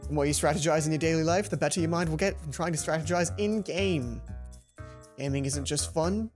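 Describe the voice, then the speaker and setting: A woman voicing an intense, bossy schoolboy gamer who lectures forcefully like a drill instructor in a visual novel. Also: low voice, a little fast, faint music behind, pitched below the performer's own voice, natural voice. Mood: intense lecturing. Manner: forceful, commanding, emphatic.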